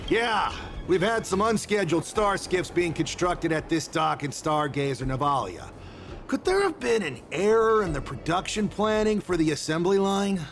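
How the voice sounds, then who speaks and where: A busy shipyard worker in a video game. His fairly high voice rises and falls a lot, polite yet preoccupied and puzzled, over faint music. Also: expressive, normal speed, a bit bright.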